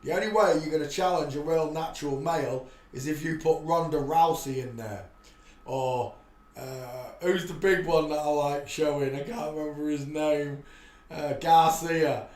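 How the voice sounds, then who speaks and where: A man's voice: a little high, normal speed. Rambling preachy man in an echoey room. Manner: preachy, rambling, with frequent restarts and loud outbursts. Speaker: livestream host. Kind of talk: rambling live-stream monologue.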